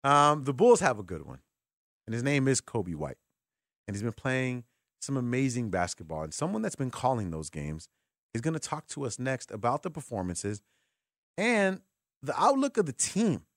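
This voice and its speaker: An American man hosting sports talk radio, talking with callers in an informal, animated, conversational way. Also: mid-range voice, normal speed, dry recording, studio quality. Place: radio studio. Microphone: close broadcast microphone.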